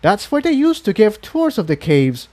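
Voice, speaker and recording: A man's voice: high-pitched, wildly swooping pitch, moderate pace, warm tone, voice pushed up. A man voicing an anxious, defiant young male dragon in a storybook reading. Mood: incredulous, unsure. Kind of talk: storybook character voice.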